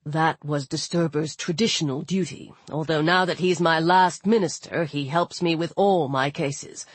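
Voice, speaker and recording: Woman playing a low, dignified, weary father. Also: dry recording. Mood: wry, explaining. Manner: firm, dry, measured, formal.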